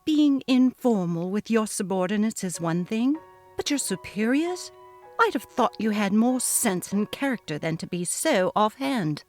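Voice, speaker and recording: Give the boss a slow, deliberate haughtiness as a woman narrator plays her. She is a cold, cutting, bullying female manager in a dramatic audio story, looking down on everyone beneath her. Music plays behind her. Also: mid-range voice, animated, a little mellow, close to an everyday voice. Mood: indignant, haughty. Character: snobby, imperious, narcissistic female office manager, cold and bullying. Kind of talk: dramatic reading of a text-message story.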